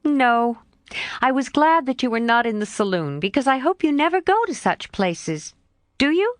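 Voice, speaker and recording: A woman voicing a spirited, frank young woman who scolds and pleads with a friend in a bright, rising voice. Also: high voice, sing-song, moderate pace, dry recording, clean studio sound, voice pushed up. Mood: earnest.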